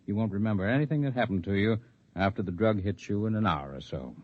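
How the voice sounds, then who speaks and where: An actor playing a composed, articulate leader of an underground freedom movement in 1950s radio drama style, speaking in measured, formal, persuasive speeches. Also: male voice, lowish voice, no echo, natural voice. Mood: calm, instructive. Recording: dull lo-fi vintage radio recording.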